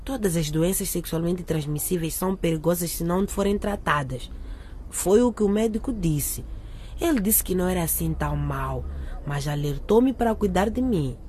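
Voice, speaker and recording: A woman's voice: on the low side, normal speed, a little mellow, slight background noise, lightly acted. A woman playing a frank, slightly defensive teenage schoolgirl in a radio drama. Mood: matter-of-fact.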